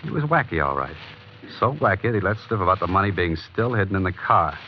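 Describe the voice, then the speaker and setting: A cool, tough private eye from vintage radio drama. His voice is deep and his talk slangy and needling. The recording is dull, with faint noise behind it. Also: animated, quick pace. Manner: hard-boiled, slangy, unflustered. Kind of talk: 1940s radio crime drama acting. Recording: muffled, noisy old radio broadcast.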